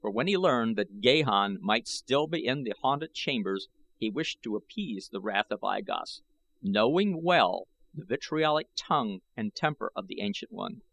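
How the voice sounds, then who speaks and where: Creaky, expressive male audiobook narrator. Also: lowish voice, normal speed, poor audio. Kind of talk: dramatic scripted narration.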